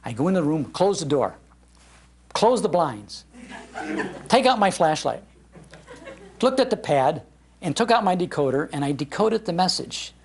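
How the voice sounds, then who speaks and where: An older man with an American accent giving a talk on old-time radio, telling humorous anecdotes in an animated way and acting out the lines he quotes. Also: a little high, a little slow, pauses often, a little hiss or hum. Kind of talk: humorous anecdotal storytelling to a live audience. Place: public library talk.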